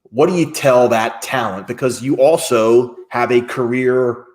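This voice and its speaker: A man's voice: medium pitch, measured pace, a little mellow. A man hosting an interview show, chatting casually and reacting in a friendly, easygoing way. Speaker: host.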